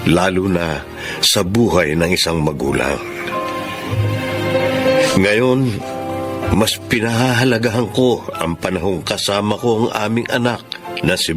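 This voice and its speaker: A man with a Filipino accent acting as a gentle, reflective father who earnestly tells his life story in a radio drama. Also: low-pitched. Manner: earnest, sentimental. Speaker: radio drama actor narrating as the main character. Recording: music bed under the voice.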